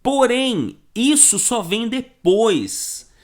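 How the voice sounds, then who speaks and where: A high-pitched man with a Brazilian Portuguese accent teaches an online course in a lively, emphatic way. He talks straight to the viewer and often asks rhetorical questions. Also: drawn-out words. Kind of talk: live online lesson.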